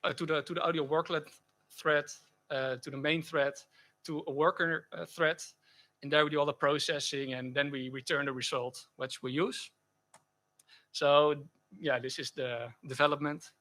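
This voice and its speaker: A man giving a technical conference talk and answering questions, speaking haltingly with frequent self-corrections. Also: on the high side, fairly even intonation, bright, forceful tone. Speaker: conference speaker presenting a project.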